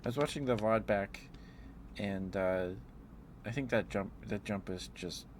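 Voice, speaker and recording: Male ASMR streamer, lower register, gentle drowsy casual delivery, close mic with clear background noise. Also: measured pace. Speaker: live streamer. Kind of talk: rambling ASMR livestream chat.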